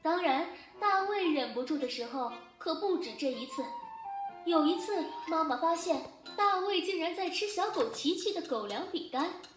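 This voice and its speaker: A woman narrating a children's picture book in an expressive storytelling style. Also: very high-pitched, normal speed, music in the background. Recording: echoey playback from device speaker.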